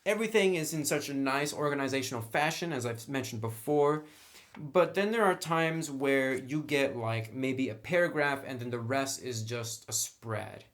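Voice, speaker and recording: A man giving a casual, unscripted review as he browses through a book, talking conversationally through each item. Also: fairly high pitch.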